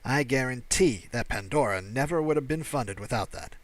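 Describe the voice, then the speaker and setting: A man's voice: mid-range voice, expressive, moderate pace, dry recording, lightly acted. A man voicing a frank, encouraging male aide who speaks emphatically and plainly in an audiobook. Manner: emphatic, matey, plain-spoken. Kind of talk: audiobook character dialogue.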